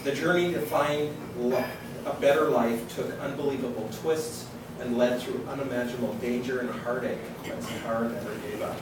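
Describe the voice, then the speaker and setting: A man's voice: medium pitch, fairly even intonation, normal speed, distant mic. Man in a very echoey, noisy room. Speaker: event host introducing an author. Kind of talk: informal introduction, then reading a book blurb aloud. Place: indoor public reading event, far from the mic.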